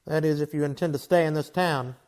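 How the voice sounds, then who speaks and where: A steady Old West marshal played by a man in a western radio play, with a high voice for a man. He is blunt, commanding and folksy, with a dry wit under the sternness. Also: brisk, natural voice. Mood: exasperated warning. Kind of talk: old-time western radio drama acting.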